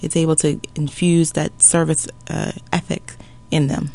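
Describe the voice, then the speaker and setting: A man speaks as a guest on a radio program, upbeat and measured. His voice sits on the higher side with a gravelly edge. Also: flat delivery, a little mellow. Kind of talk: radio interview.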